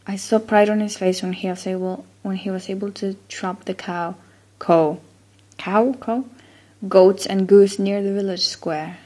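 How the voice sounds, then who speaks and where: A woman's voice: mid-range voice, darkish tone. A woman from Chile, a native Spanish speaker with a Chilean accent, reads a word list casually and a bit unsure, trailing off with fillers. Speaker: accent-tag vlogger.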